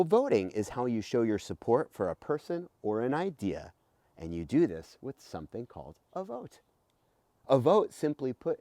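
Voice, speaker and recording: A middle-aged man reads aloud to children like an expressive teacher. His pitch moves widely as he stresses key words and explains as he goes. The voice is soft-edged and mellow, captured dry and close. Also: no room sound. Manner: expressive, emphatic, with rhetorical questions. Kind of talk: animated read-aloud for children with explanatory asides. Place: outdoors beside a house.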